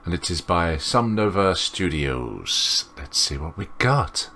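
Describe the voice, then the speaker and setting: A man's voice: low voice, sing-song, slow. A man giving chatty let's play commentary on visual novels, reading aloud and reacting as he goes. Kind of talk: casual gaming commentary mixed with read-aloud dialogue. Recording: voice over loud game music. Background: game music.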